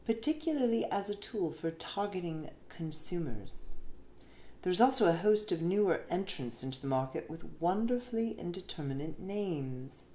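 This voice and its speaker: Low-pitched, expressive woman reading in roomy echo. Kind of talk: reading an essay aloud. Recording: roomy echo with faint background noise.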